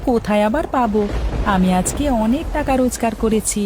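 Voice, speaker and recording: Woman voicing an earnest young village boy, high-pitched. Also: a little fast, background noise, natural voice. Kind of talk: cartoon story dubbing.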